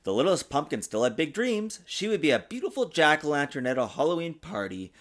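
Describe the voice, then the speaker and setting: A man reading a children's storybook aloud in an expressive, sing-song way, as a father does for his young child. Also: a little high, brisk. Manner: patiently answers child's interruptions. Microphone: desktop USB microphone.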